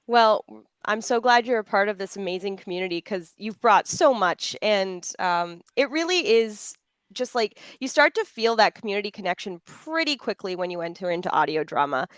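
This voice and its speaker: A woman's voice: medium pitch, animated, normal speed, fairly bright tone, clean tone, no room sound. A woman moderating a panel discussion in a conversational, affirming and encouraging way.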